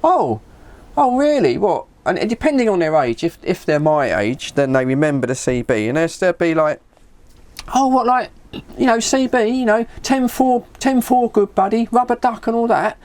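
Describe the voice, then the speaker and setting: A middle-aged British man talks in a casual, chatty way, like a hobbyist vlogger. His pitch moves about in a lively, animated fashion. He recounts conversations by acting out each side, often repeating words along the way. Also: normal speed. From United Kingdom. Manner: acts out remembered conversations, repeating words as he goes. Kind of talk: casual chat with anecdotes.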